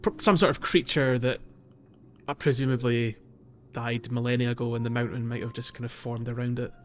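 A man's voice: mid-range voice, steady tone, fairly quick, muffled recording, slight background noise. A man co-hosting a podcast in casual chat, thinking aloud with a hesitant delivery. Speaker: podcast co-host. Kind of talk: casual chat, loosely explaining gameplay.

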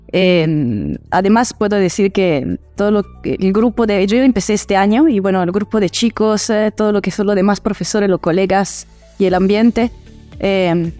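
A woman's voice: mid-range voice, dark tone. A woman from Italy, a university teacher answering interview questions in a hesitant way, with many filler sounds.

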